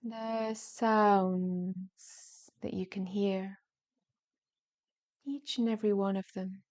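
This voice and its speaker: Female meditation guide, mid-pitched and very unhurried, soft and soothing, breathy phrase endings, relaxed warm tone. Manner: soothing, gentle, drawn-out phrases with long pauses. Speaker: yoga nidra meditation guide.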